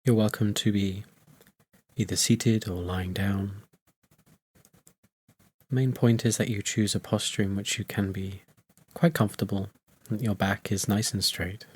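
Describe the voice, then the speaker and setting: A man leading a guided meditation as a teacher, in soothing phrases with long, unhurried pauses. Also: lowish voice, steady tone, a little mellow, breathy edge.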